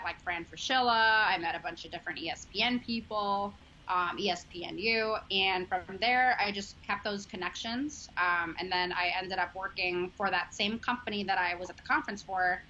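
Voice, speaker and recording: Woman, 30s, Chicago accent, bright tone, earnest interview guest, dull recording. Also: mid-range voice. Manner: chatty, filler-heavy, earnest. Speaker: sports journalist guest. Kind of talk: casual interview chat. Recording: dull lo-fi audio, faint noise.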